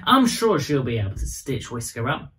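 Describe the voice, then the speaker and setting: A man's voice: medium pitch, very animated, moderate pace, a bit bright. A man voicing an earnest little girl, anxious yet polite, in a storybook reading. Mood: worried.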